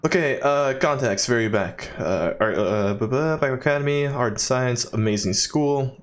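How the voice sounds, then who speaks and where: A man's voice: medium pitch, normal speed. A man doing casual, joking let's play commentary, with swearing and muttered asides.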